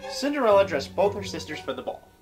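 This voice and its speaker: Casting: comic fairy-tale narrator, medium-pitched voice, lively swings in intonation. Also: quick pace, over music. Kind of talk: comic storybook stage narration.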